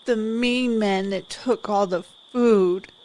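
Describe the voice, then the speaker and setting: A woman's voice: medium pitch, darkish tone, natural voice. A female performer playing a wary eight-year-old girl in an audio drama, speaking simply and plainly. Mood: sad, matter-of-fact. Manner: simple, childlike, slow delivery.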